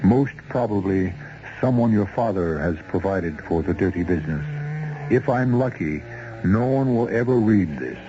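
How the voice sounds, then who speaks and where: An actor playing a bitter, doomed man in a 1970s radio drama, measured and dramatic. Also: deep voice, animated, music in the background. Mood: bitter, fatalistic. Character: doomed, bitter man reading out his last letter. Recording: dull, hissy old radio recording.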